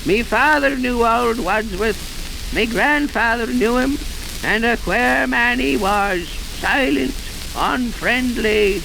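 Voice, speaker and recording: An actor playing a garrulous, aggrieved old blacksmith with a rural English accent in an old-time radio drama. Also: male voice, very high voice, slow, vocal fry, exaggerated voice. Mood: aggrieved, grumbling. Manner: folksy, grumbling, repeats himself for emphasis. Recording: noisy, hissy, crackling old radio recording.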